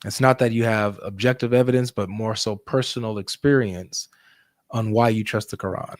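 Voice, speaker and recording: A man's voice: on the low side, darkish tone, no room sound, studio quality. A man hosting a live debate, asking probing, pointed questions.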